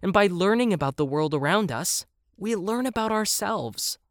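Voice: mid-range voice, animated, normal speed, no echo, clean studio sound, voice pushed up, natural voice. A storyteller voicing a self-important child who plays at being an explorer, speaking emphatically in a children's story. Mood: proud, explaining. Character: earnest, bossy child explorer playing geographer.

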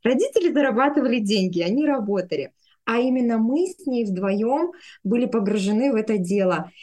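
A woman's voice: fairly high pitch, animated, a little fast, warm tone. A woman in her thirties speaking conversationally as an interview guest, telling personal stories. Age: 30s. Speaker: podcast guest, speech therapist.

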